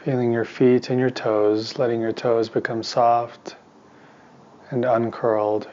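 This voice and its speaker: A man teaching meditation in a soft voice, giving calm, soothing instructions in short, spaced phrases. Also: mid-range voice, unhurried, highs cut off. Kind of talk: guided meditation.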